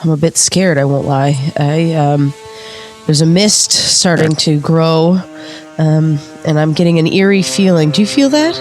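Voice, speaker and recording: Nervous, earnest ghost investigator. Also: female voice, deep voice, measured pace, a little mellow. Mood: uneasy, hushed. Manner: hesitant, breathless reactions with sighs and gasps. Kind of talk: naturalistic spooky audio play acting. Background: music.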